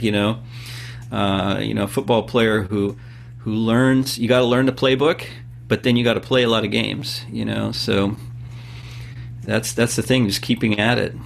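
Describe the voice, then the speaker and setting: A man in his fifties from California, explaining things at length in a relaxed interview, with many pauses and fillers. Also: lowish voice, vocal fry, a little hiss or hum. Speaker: podcast guest and host of his own show. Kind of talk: casual interview talk.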